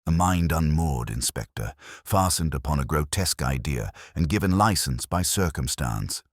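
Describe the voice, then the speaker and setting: A man voicing a coolly analytical gentleman detective in an audiobook, stern, composed and precise. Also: extremely low pitch, expressive, moderate pace, no echo, clean studio sound.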